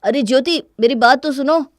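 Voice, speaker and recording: A woman's voice: high-pitched, moderate pace, no room sound, clean studio sound, close to an everyday voice. A woman voicing a suspicious, bitter young husband in a cartoon family story. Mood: apologetic. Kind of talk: Hindi cartoon moral-story voice acting.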